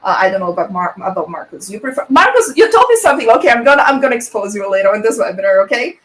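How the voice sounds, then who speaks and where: A woman's voice: mid-range voice, very animated, rapid-fire, a bit bright. A young woman with a Brazilian Portuguese accent hosting a webinar in casual chat, laughing often and filling with "like". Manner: chatty, laughs often, fills with "like". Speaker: webinar host. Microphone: video call.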